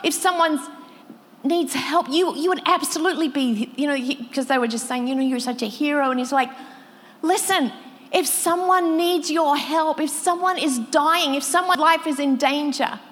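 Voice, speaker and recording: A woman's voice: sits high, moderate pace, a bit bright. Woman preaching in a reverberant hall. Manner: emotional, quoting others with rising intensity. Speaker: pastor. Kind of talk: sermon storytelling.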